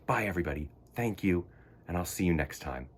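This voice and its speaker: A man presents a storytime for children. His delivery is theatrical and earnest, with lively swings in pitch and a husky edge. He addresses his young audience directly and expressively. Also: a little fast. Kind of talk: expressive read-aloud and talk to young viewers.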